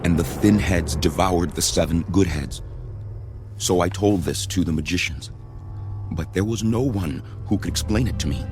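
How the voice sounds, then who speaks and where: A man's voice: low-pitched, normal speed, natural voice. A man playing a commanding Pharaoh of ancient Egypt in a stately, declamatory dramatized audio Bible performance. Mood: troubled, grave. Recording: studio audio drama with music underneath.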